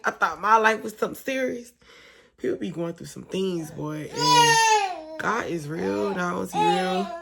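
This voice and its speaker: Hoarse woman talking low and gravelly. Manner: soft and quiet, partly whispered, late at night. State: hoarse, voice almost gone. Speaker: mom vlogger. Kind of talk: casual talk to camera. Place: home at night, lying down with her baby.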